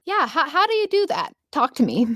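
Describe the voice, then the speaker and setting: A woman's voice: high-pitched, huge pitch swings, brisk, fairly bright tone, no echo, clean studio sound. A woman improvising narration as a tabletop game master, descriptive and conversational.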